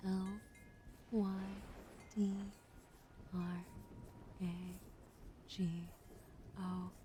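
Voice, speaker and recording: A woman streaming ASMR, whispering softly to her chat and slowly spelling out follower names, now and then swearing. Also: medium pitch, slight background noise. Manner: slow and gentle, swears and laughs in between. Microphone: studio mic.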